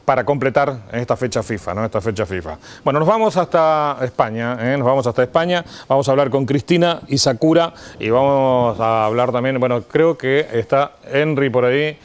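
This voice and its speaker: A middle-aged Argentine man hosts a live TV program from a studio desk. His voice is clean and resonant at an average pitch, and he talks conversationally, as if chatting to viewers and guests. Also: normal speed, few pauses, clear, resonant tone. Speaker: TV host. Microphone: lapel mic.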